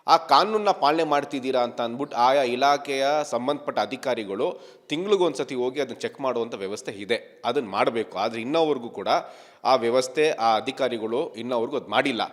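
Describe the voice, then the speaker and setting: A man's voice: mid-range voice, normal speed, in a large hall. A man giving an emphatic statement to a news reporter as an activist leader, repeating phrases for stress. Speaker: activist leader being interviewed.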